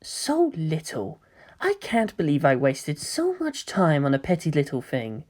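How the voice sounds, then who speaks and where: A woman voicing a brooding, grandiose young male antagonist in a story reading, speaking in a hushed, grave manner. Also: sits low, huge pitch swings, measured pace, heavily acted. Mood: scornful, hushed. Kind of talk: dramatic audiobook character reading.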